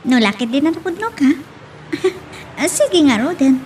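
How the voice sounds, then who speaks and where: A woman voice-acting a lively, teasing young woman being courted in an Ilocano love drama. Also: high voice, measured pace, slight background noise. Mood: playful, demanding.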